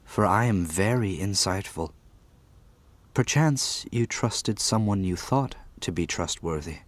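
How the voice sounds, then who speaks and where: A stately storybook lord, acted by a man, with a low voice. He is calm and knowing, choosing formal, old-fashioned words as he offers smooth reassurance. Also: dry recording. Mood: calm, reassuring. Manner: formal, archaic, unhurried phrasing. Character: stately wealthy lord, calm, insightful, smooth-talking rescuer. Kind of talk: dramatised audiobook acting.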